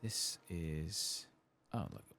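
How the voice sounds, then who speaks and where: A man giving soft-spoken, hushed ASMR commentary as he plays a game, muttering to himself in an unhurried way. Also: low voice. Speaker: streamer playing a fantasy game.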